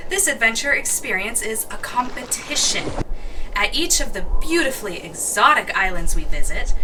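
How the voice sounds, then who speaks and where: A woman's voice: fairly high pitch, recorded from a distance, background noise. A woman playing an imperious, scheming princess in an audio drama, formal and commanding. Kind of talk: audio drama acting, formal welcome speech.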